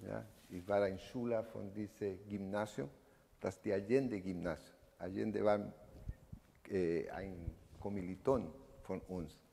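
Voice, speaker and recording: An evening of remembrance in an echoing hall: at the lectern, a low-voiced man in his sixties, native Spanish speaker with a Chilean accent, haltingly tells his own story. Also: steady tone, vocal fry, mellow, husky. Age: 60s. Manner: halting, searching for words in non-native speech. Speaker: eyewitness speaker giving a testimony. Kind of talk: personal testimony talk to an audience. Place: indoor event room, standing at a lectern. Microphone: microphone on a stand. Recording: reverberant hall.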